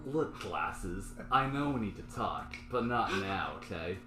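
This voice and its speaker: Man as defensive slangy small-time dealer, low voice, music behind. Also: expressive, a little slow, reverberant.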